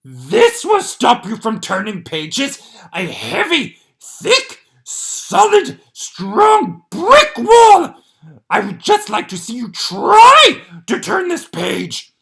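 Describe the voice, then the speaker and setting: A woman voicing a lovable furry male monster, shouting and pleading in a high, panicky voice. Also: wildly swooping pitch, takes it slowly, creaky, exaggerated voice. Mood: defiant.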